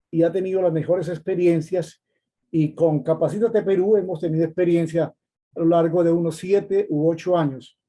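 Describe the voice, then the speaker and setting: A middle-aged man with a Colombian accent from Cali leads a training lecture, speaking high in his range. His tone is piercingly bright and edged. He goes unhurriedly and repeats key terms as if dictating notes. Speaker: course instructor.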